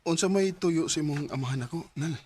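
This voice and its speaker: A man's voice: on the high side, brisk, natural voice. A man with a Filipino Cebuano accent playing a sincere, devoted sweetheart in a sentimental radio drama. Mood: earnest, courting. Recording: radio drama with music underneath.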